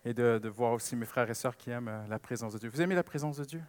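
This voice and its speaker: A man's voice: medium pitch, fast, dry recording. A man with a French Canadian accent preaching a sermon with personal stories, emphasizing and repeating key phrases.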